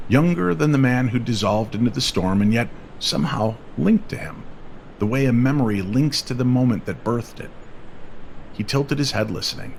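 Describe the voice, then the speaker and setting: A man reading a scripted story in an old-time radio style, measured and unhurried with dramatic pauses. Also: medium pitch, a little hiss or hum, low-quality recording. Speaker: co-narrator of audio story. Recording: faint music under the voice. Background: soft music bed.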